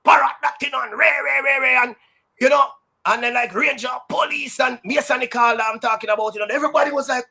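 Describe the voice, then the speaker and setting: A Jamaican man who works as a sound system DJ, telling stories in a loud, high-energy, boastful way that is full of patois. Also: quick pace, gravelly. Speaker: sound system DJ, interview guest. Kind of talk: casual storytelling interview.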